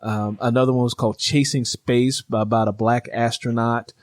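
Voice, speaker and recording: A man's voice: medium pitch, flat delivery, normal speed, dry recording. Male interview host in a dry room. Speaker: interview host and audiobook narrator. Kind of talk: casual book chat.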